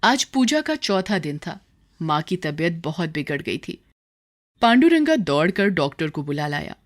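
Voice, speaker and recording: A woman narrates a scripted moral tale as a storyteller. Her voice is a little low for a woman, with highly animated intonation that carries the drama. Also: normal speed.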